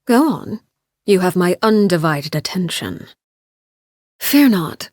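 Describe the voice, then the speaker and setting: A low, coolly menacing lady. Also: very animated, dry recording, full character voice. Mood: cool, courteous. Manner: measured, formal, quietly threatening. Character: cold, composed, menacing female head of an orphanage. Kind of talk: scripted video game character lines.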